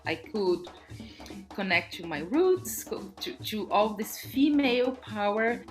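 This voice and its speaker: A woman's voice: medium pitch, a bit bright. A woman from Brazil with a Brazilian accent and Portuguese as her first language, speaking reflectively in an interview and often searching for words. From Brazil, born in Salvador and raised in São Paulo. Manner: halting, searching for words with repetitions. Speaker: dance artist being interviewed. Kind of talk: reflective conversational interview about own life.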